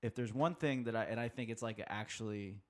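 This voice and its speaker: A man's voice: on the low side, brisk. A man in his thirties, a podcast guest chatting casually, rambling with many fillers and restarts. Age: 30s. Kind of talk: casual conversation.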